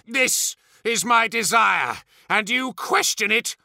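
An imperious superhuman warlord, vain and full of wrath. The voice sits high and swings widely, with a sharp, theatrical snarl suited to a dramatic audiobook. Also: male voice, lively intonation, takes it slowly, vocal fry, no room sound, studio quality, exaggerated voice. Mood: screaming rage. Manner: theatrical, spitting, snarling outbursts.

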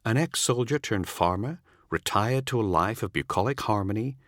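A man's voice: lowish voice, animated, moderate pace, fairly bright tone, no room sound, clean studio sound, pitched above the performer's own voice. A man voicing a charming, smug former comrade who keeps pressing and needling. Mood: insistent. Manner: needling, insistent. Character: smug, charming male old soldier comrade.

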